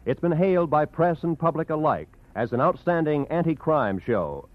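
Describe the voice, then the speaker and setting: A man's voice: fairly high pitch, very animated, moderate pace, no echo. A man announcing credits and promotions in formal 1940s radio style. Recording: muffled old radio broadcast with music.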